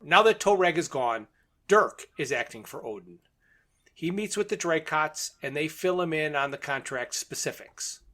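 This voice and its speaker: A man's voice: fairly high pitch, expressive, moderate pace, bright, forceful tone. A man co-hosting a podcast, recapping and commenting on a story in an informal, orderly way. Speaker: podcast co-host. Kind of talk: plot recap in casual podcast talk.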